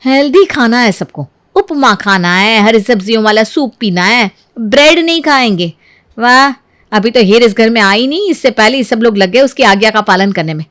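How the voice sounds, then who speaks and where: A woman's voice: a little high, lively intonation, normal speed, fairly bright tone. A woman storyteller voicing a jealous, argumentative young housewife who complains and mocks her in-law. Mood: bitter, grumbling. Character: jealous, sarcastic elder daughter-in-law, young adult housewife.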